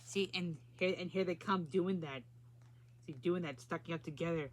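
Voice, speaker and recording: A woman's voice: on the low side, normal speed, slight background noise. A woman storyteller reading a children's storybook aloud and adding simple asides about the pictures.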